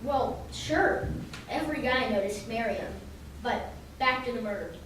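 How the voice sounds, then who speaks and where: An earnest radio-play police detective played by a woman, pitched high for the part. The voice rings in a very echoey hall, some distance from the mic. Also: lively intonation, unhurried, background noise. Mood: businesslike. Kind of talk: old-time radio drama acting.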